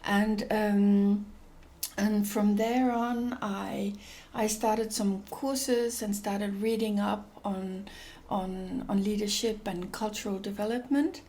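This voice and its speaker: Slow, hesitant woman from Denmark. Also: mid-range voice, steady tone, dark tone. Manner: thoughtful, hesitant, many restarts and fillers. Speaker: guest, NGO executive director. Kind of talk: reflective interview answers.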